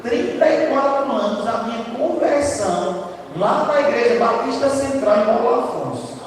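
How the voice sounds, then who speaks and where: A hoarse man preaching a sermon in a church, talking loud and conversational, often tagging his sentences with a quick question to the congregation. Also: extremely high pitch, animated, slight background noise. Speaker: lay preacher. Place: church sanctuary. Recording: distant, echoey church hall.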